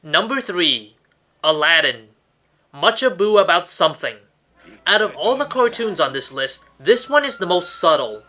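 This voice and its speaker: Animated, bright-voiced man hosting a countdown. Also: sits high, normal speed. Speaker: host of an online cartoon countdown show. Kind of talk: scripted countdown review narration. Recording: music under the voice. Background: background music.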